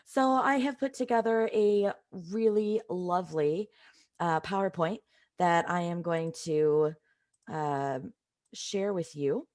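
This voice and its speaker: A woman with a slightly raspy voice from a cold, teaching an informal live online math lesson in a conversational way, stressing key points. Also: fairly low pitch, slow, darkish tone. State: has a cold.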